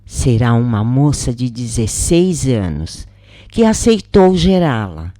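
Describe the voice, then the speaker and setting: A woman with a Brazilian accent voicing a calm, solemn spirit mentor explaining a coming birth in a story. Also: rumbling low, takes it slowly, no room sound, clean studio sound, heavily acted. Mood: explanatory.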